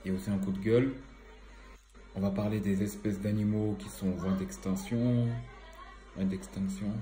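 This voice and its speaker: A man's voice: lowish voice, steady tone, normal speed, dark tone, echoey room. A man with a cold and a slightly stuffy voice, giving a casual, rambling commentary full of hesitations and fillers. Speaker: environmental vlogger.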